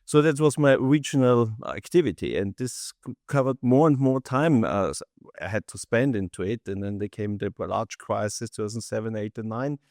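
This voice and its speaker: A middle-aged man with an Austrian accent, a native German speaker, answering as a founder in an interview with halting non-native phrasing. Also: fairly low pitch, darkish tone, no room sound, studio quality. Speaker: company founder, interview guest. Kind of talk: interview answers, recounting own career.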